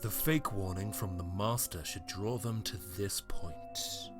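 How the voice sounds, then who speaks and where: Male actor as sinister schemer, low-pitched, cold, calculating, audio drama, music underneath. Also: a little slow, close to an everyday voice. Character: shadowy scheming male mastermind, coldly calculating.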